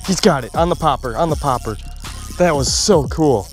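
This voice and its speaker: A man's voice: fairly high pitch, normal speed, faint music behind. A young man fishing alone from a small boat on a lake, chatting to the camera in a running commentary. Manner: muttering to himself, then excited and laughing. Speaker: fishing vlogger. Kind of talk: solo outdoor fishing commentary. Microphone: camera mic on the boat, wind and water around.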